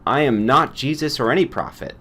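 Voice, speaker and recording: A man acts out a principled, modest doctor-scientist in a storybook reading. He speaks with careful emphasis and earnest protest, a little above an everyday pitch. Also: brisk, pushed and bright.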